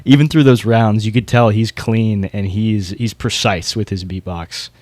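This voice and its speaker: A man's voice: fairly low pitch, fairly even intonation, a little slow, darkish tone, dry recording. A man hosting a casual podcast, talking informally and knowledgeably about competitors in his field. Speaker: podcast host.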